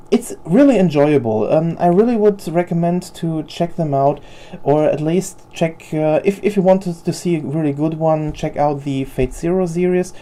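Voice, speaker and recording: A young woman whose first language is German talks through her collection in a loose, unscripted way. Her voice sits low and sounds very dark and mellow. She hesitates often and circles back over her own words. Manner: hesitant, many fillers and restarts. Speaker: figure collector video host. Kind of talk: casual unscripted show-and-tell.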